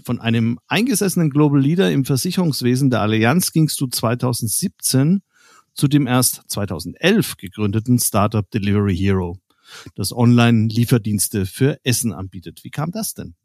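A man's voice: medium pitch, lively intonation, normal speed, darkish tone. A man hosting an interview podcast, presenting and asking questions. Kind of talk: scripted introduction, then conversational interview questions.

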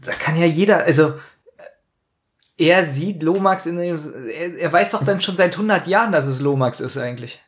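A male co-host with a higher-than-average voice chats informally. He comments bluntly and critically, cutting in with short remarks. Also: moderate pace. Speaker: podcast co-host. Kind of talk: casual chat and commentary.

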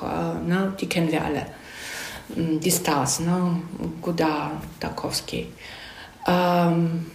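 A woman's voice: lowish voice, vocal fry, a little mellow, slight background noise. A woman speaking as an interviewed screenwriter, explaining things casually and often checking for agreement with little tags. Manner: conversational, frequent "ne?" tags seeking agreement. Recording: echoey room.

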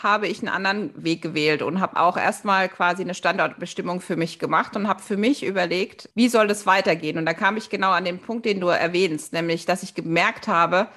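A woman's voice: lowish voice, a bit bright. Female podcast host speaking conversationally. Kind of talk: podcast interview.

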